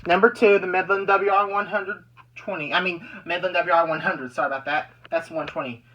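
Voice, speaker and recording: A bright, forward voice with a medium pitch talks through a hobby demonstration. The delivery is casual and rambling, circling back to repeat and restart phrases. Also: fairly even intonation, fairly quick, dull audio. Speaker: amateur video maker demonstrating weather radios. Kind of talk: casual hobby show-and-tell.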